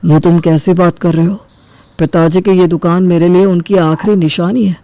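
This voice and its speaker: A woman's voice: fairly low pitch, fairly even intonation, normal speed, dark tone, dry recording, close to an everyday voice. A woman voicing a principled, sentimental middle-aged shopkeeper, the eldest brother, speaking earnestly and firmly in a cartoon family story. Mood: hurt, firm. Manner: earnest, firm. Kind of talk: acted dialogue in a Hindi cartoon family story.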